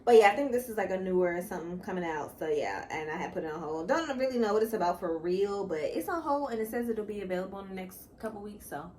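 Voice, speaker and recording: A woman's voice: medium pitch, lively intonation, fast, hardly any pauses, darkish tone. Easygoing woman in a faintly noisy book chat. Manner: colloquial, easygoing. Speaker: co-host of a book channel.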